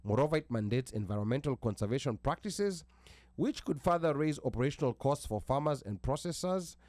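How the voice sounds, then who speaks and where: A man's voice: mid-range voice, lively intonation, darkish tone, no echo. A man with an American accent hosting a talk radio show, reading a bill aloud with restarts and stumbles. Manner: reads haltingly with restarts, asks about pronunciation.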